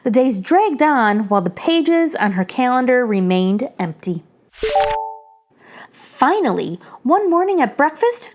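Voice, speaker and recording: High-pitched, lively woman reading a children's story. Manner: animated storytelling. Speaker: children's story reader, channel host. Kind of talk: expressive read-aloud of a children's picture book.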